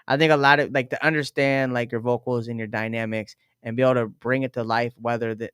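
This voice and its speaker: A man in his thirties with a high-pitched voice, chatting in an animated way with lots of fillers. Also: quick pace, clean tone, no room sound, studio quality. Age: 30s. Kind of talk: casual chat.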